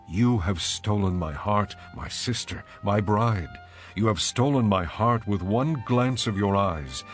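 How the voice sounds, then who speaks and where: A man playing an ardent, tender bridegroom in a dramatized scripture reading, with measured, poetic delivery. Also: on the low side, lightly acted. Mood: tender, enraptured. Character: ardent bridegroom, tender adoring lover praising his bride. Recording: music behind the voice.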